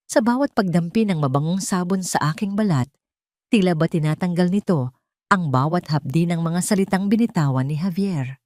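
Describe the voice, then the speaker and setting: A woman with a Filipino accent narrating a dramatic scripted story in the first person, solemn and deliberate. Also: low-pitched, darkish tone, no room sound, studio quality.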